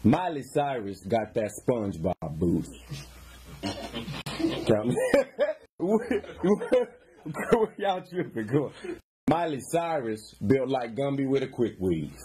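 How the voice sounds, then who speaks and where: A man's voice: mid-range voice, sing-song, slow, dark tone. An American man in his thirties delivering comedic roast one-liners, tickled by his own jokes.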